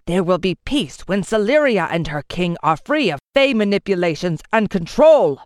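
A woman voicing an angry, proud male lord who spits his words and shouts orders to his warriors. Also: on the low side, big pitch swings, moderate pace, no echo, clean studio sound. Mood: scornful. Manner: harsh, shouting commands.

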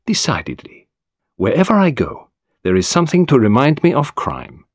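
A male narrator plays a composed detective in an audiobook, musing to himself with measured, wry self-amusement. His pitch moves freely, and the sound is dry. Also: huge pitch swings, dry recording. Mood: wryly amused.

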